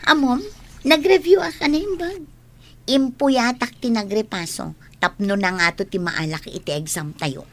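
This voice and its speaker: An actress playing a skeptical, resentful young college student in an Ilocano radio drama. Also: female voice, fairly high pitch, normal speed, close to an everyday voice. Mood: scornful.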